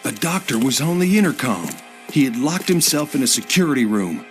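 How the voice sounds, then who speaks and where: A man's voice: medium pitch, sing-song, brisk, close to an everyday voice. Man playing a folksy rural American farmer, music behind. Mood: steady, weary. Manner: folksy, plainspoken storytelling. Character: plainspoken male farmer, haunted zombie-outbreak survivor. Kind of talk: scripted first-person game narration.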